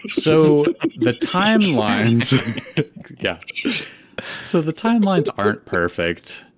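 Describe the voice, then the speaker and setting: A man's voice: fairly high pitch, huge pitch swings, slow. A man co-hosting a fanfiction comedy show, mixing casual chat with reading aloud.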